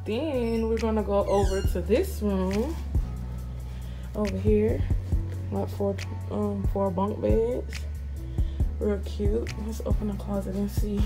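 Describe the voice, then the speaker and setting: A woman's voice: mid-range voice, steady tone, takes it slowly, over music, rough recording. A woman, chatting casually for a vlog on a handheld phone. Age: 20s. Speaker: vlogger. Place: inside a moving car, later walking through a house. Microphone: handheld phone at arm's length.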